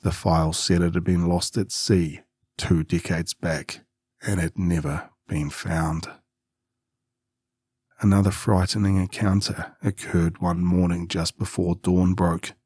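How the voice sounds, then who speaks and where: Deep, husky male horror narrator. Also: level tone, unhurried, darkish tone, no room sound, studio quality. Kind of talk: scripted first-person creepypasta narration.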